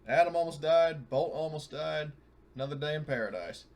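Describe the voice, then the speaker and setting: A man roleplaying casually at a tabletop game, speaking in a halting, stammering way. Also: on the high side, crowd noise. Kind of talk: casual in-character roleplay chat. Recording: noticeable background noise.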